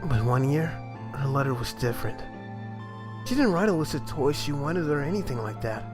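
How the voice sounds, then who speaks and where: A man's voice: medium pitch, animated, moderate pace, over music, natural voice. A man voicing an aloof, wistful young older brother in a game fan dub, talking in a casual, reflective way.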